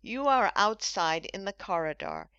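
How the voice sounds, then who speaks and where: A woman hosting an English-lesson podcast, speaking slowly and enunciating carefully for learners. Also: medium pitch, expressive, fairly bright tone, clear, resonant tone, dry recording. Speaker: English teacher and podcast host. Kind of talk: scripted language lesson. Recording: dull lo-fi dry recording.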